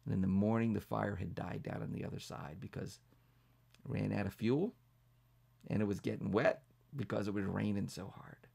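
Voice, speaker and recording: Casting: male pastor reading bedtime tales to kids, very gravelly and breathy, dramatic pacing with repeated build-ups. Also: fairly low pitch, normal speed. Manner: dramatic, repetitive build-up, hushed to emphatic. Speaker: pastor and storyteller. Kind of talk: children's bedtime storytelling.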